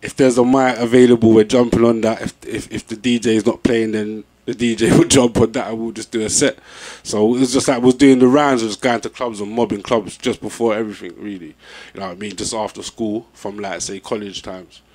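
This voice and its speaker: A young man with a London accent, chatting casually as an MC being interviewed, with a relaxed manner. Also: fairly low pitch, brisk, hardly any pauses. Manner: laid-back, peppered with "you know what I'm saying".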